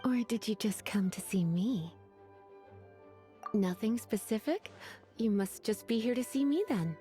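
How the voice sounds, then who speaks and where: A woman's voice: medium pitch, expressive, unhurried, warm tone, faint music behind, natural voice. A woman playing a teasing, languid librarian in an anime game, coy and flirtatious with a veiled menace. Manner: flirtatious, drawling, sighing, coy.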